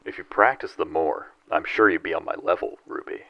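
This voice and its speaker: Man playing deep-voiced, cool psionic agent. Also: brisk, vocal fry, dry recording, dull audio. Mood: instructive, modest. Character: cool, loyal male psionic agent, a dutiful brother. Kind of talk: sci-fi audio drama acting.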